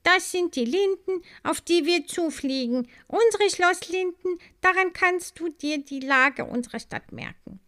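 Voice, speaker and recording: A woman's voice: very high-pitched, expressive, a little slow, few pauses, fairly bright tone, pitched above the performer's own voice, full character voice. A woman voicing a friendly female honey-carrier bee who guides a young bee, a little out of breath. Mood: guiding.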